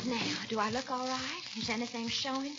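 A woman's voice: high voice, steady tone, brisk, lightly acted. An actress playing a coy, vain, flirtatious Southern lady in 1940s radio comedy style, with a teasing, affected manner. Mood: fretful, self-absorbed. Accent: Southern American. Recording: muffled, noisy old radio recording.

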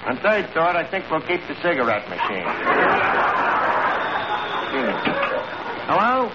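An actor playing a brash, scheming tavern manager in a 1940s radio comedy, with a New York accent and a bluffing, word-mangling way of talking. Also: male voice, on the high side, big pitch swings, a little slow, a loud crowd. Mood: bluffing, flustered. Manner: bluffing, mangles grammar and fancy words. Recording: noisy muffled old radio broadcast, audience behind.

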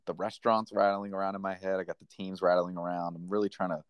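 A man's voice: sits low, little pitch movement, quick pace, clean tone, no room sound. A man co-hosting a sports podcast in a casual, conversational style.

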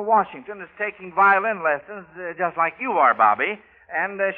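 An American man hosting an old-time radio children's quiz in a folksy, hesitant, chuckling way, often repeating himself. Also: high voice. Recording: narrow-band old radio broadcast.

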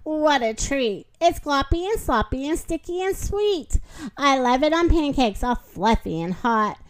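Bouncy rhyming storybook cat, lady reading him, high voice. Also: big pitch swings, measured pace. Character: playful cat in a hat, male.

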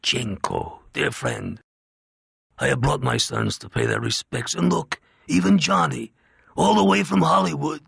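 A man performs an aging crime boss with a slight Italian accent, his husky, gravelly voice pitched above the narrator's own. He speaks in a measured, grave and paternal way, in a dry, close storybook reading. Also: animated, fairly bright tone, no echo, exaggerated voice. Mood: solemn.

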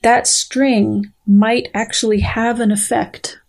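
A woman speaking as a biologist guest in an interview, with measured, precise, scientific phrasing. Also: on the high side, level tone, creaky, a little mellow. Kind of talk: thoughtful conversational interview.

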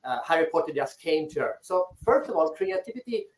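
A man's voice: a little high, expressive, brisk. A man from Sweden, a guest speaker answering interview questions thoughtfully and often restarting his words. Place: rooftop of his island house. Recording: echoey online audio.

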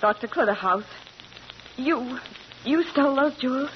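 A woman's voice: high-pitched, a little slow. A woman acting as a doctor's prim assistant in a vintage radio drama, questioning earnestly and incredulously. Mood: shocked. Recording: muffled old radio broadcast, crackly noise.

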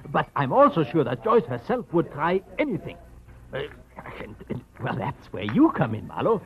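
A man's voice: fairly high pitch, wildly swooping pitch, moderate pace. An actor playing a fussy, scheming older man, anxious and confiding, with a hesitant, emphatic delivery in 1940s radio drama. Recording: dull old radio recording.

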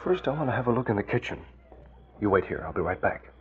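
Commanding crime-fighter, animated delivery. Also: male voice, lowish voice, soft background music. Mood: cautious. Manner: brisk, authoritative. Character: decisive male masked crime-fighter, cool and commanding. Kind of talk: 1940s radio acting. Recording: muffled old radio recording.

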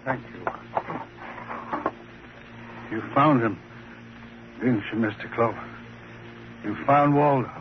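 A man's voice: mid-range voice, slow pace, long pauses, natural voice. Man acting troubled ventriloquist, tender, earnest, fragile, old radio drama, dull recording with slight hiss. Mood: anxious, pleading. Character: troubled, tender ventriloquist devoted to his dummy.

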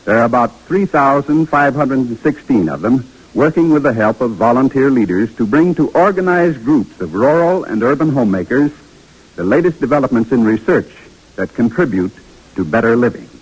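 A man giving a formal, measured scripted narration as the announcer of an old radio program. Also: medium pitch, expressive, breathy edge, rough recording.

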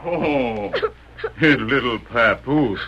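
Slow stoic frontier companion, broken English. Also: male voice, medium pitch, sharp tone. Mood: grave. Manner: halting broken English with dropped words. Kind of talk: vintage radio western acting. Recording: muffled, noisy old radio recording.

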